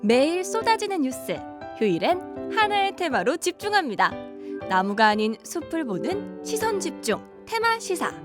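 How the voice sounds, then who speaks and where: A woman's voice: high-pitched, big pitch swings, normal speed. A woman reading a scripted radio programme promo as an announcer, with music behind her. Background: prominent music.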